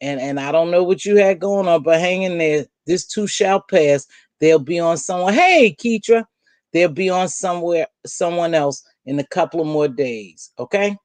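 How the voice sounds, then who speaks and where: A middle-aged woman with a deep voice, hosting a casual livestream from home and chatting bluntly with viewers, calling them baby and girl. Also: lively intonation, moderate pace, darkish tone, no echo. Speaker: livestream host. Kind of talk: casual live chat, answering viewer comments.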